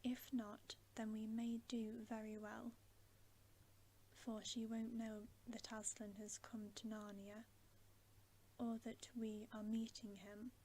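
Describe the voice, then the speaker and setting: A woman's voice: on the high side, little pitch movement, takes it slowly, warm tone, slight background noise, rough recording. A woman voicing a shrewd, motherly female talking beaver, reasoning carefully in a whispered bedtime story reading. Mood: careful, concerned.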